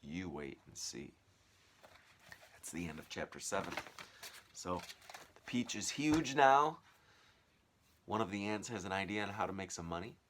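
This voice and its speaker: A man's voice: on the low side, normal speed, long pauses, noisy background. A man reading a storybook aloud like a teacher, expressively and with dramatic, varied emphasis.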